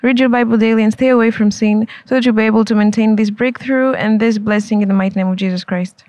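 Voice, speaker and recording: Very high, rapid-fire male interviewer. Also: fairly even intonation, darkish tone, dry recording. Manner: formal, brisk. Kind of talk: formal scripted questions.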